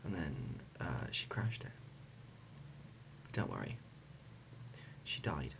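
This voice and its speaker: A man playing an absurd, deadpan dad in an ASMR comedy roleplay, speaking haltingly and gently, often dropping to a whisper. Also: a bass voice, vocal fry, voice pushed down, exaggerated voice. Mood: fond deadpan. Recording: dull lo-fi audio, loud background noise.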